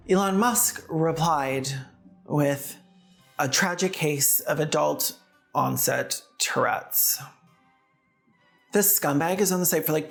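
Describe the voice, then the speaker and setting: A woman's voice: low-pitched, vocal fry. A woman narrating scripted video commentary in a sardonic way, with casual asides. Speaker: video essayist and host.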